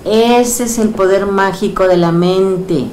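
A middle-aged woman with a Mexican accent, a hypnotherapist who guides a session with soft, slow suggestions and then chats warmly with her client in a small indoor room. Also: medium pitch, a little hiss or hum. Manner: soft, slow counting and suggestions, then lively coaxing questions.

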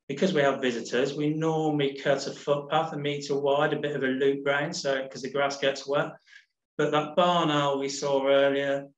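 A middle-aged man giving a casual slide talk as a nature reserves manager, relaxed and rambling with many ums and restarts. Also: medium pitch, echoey room, rough recording. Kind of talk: casual presentation over slides. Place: home room on a video call.

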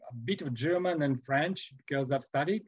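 A man in his forties from Serbia with a Serbian accent, speaking as a guest on a video call interview, halting with many fillers and restarts. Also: on the high side, breathy edge. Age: 40s. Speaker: guest sculptor. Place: home workroom with shelves. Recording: muffled video call audio.

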